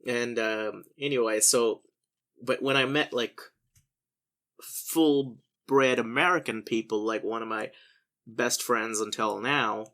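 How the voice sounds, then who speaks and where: A man from India chats casually on a podcast, wry and chatty as he tells stories. His pitch sits in the middle, and he leans into the lines he quotes. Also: fairly even intonation, slow pace, sharp tone. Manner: wry, storytelling, acts out quoted voices. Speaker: podcast co-host. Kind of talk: casual chat.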